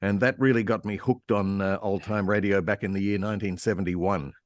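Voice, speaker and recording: An older man with an Australian accent, reminiscing casually and haltingly as an interview guest. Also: on the low side, fairly even intonation, no echo. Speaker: interview guest, radio historian and voice actor.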